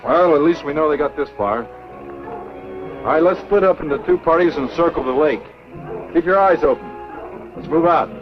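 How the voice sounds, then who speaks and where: An actor playing a calm, take-charge man leading a search party, in a brisk, authoritative 1950s B-movie style. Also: on the high side, natural voice. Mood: commanding. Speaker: film actor. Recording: muffled old 1950s film soundtrack, music behind.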